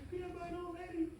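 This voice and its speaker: Echoey, distant man playing a wicked scientist. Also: extremely high pitch. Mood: gleefully mocking. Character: gleefully wicked male scientist craving power, secretly scheming. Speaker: table read actor. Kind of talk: comedic animated pilot table read. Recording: very echoey room, distant mic, hissy noise.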